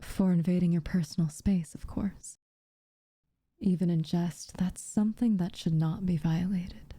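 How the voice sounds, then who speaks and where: A woman playing an aloof, teasing but secretly tender witch in a soft, intimate ASMR roleplay, with a breathy, half-whispered delivery and dramatic pauses. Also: fairly low pitch, steady tone, no room sound, clean studio sound. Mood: flirtatious teasing.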